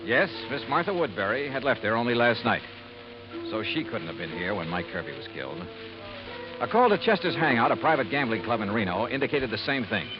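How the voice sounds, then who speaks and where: An actor playing a hard-boiled investigator who narrates his own case in a 1950s radio drama, crisp and brisk. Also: mid-range voice, very animated, natural voice. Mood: narrating. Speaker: narrator and lead detective. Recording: muffled old radio broadcast with music.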